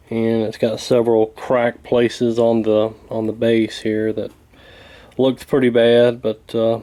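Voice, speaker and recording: A man giving a casual hands-on repair walkthrough in a folksy, hesitant, unhurried way. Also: mid-range voice, mellow. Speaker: radio repair hobbyist demonstrating.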